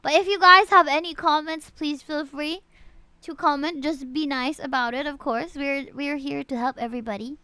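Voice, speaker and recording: Female live streamer, bright forward voice, dry sound; casual, chatty, animated game talk aimed at her viewers. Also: sits high, moderate pace, no room sound. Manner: animated, chatty, frequent exclamations and asides to viewers. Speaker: live streamer reviewing players' game characters. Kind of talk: casual live-stream chat, reading out stats.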